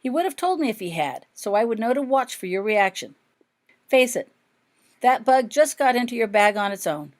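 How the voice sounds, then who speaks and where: A sincere young schoolboy from a storybook, read by a woman. He is insistent and sure of himself, pitched a bit up. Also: big pitch swings, moderate pace. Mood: confident. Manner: insistent, confident. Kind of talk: audiobook character dialogue.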